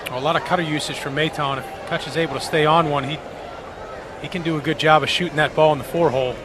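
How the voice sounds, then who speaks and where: A man's voice: on the high side, fairly even intonation, fast talker, noisy background. A man with an American accent giving conversational color commentary on live baseball, explaining tactics in long running sentences. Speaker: radio baseball color analyst. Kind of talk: live analytical sports commentary.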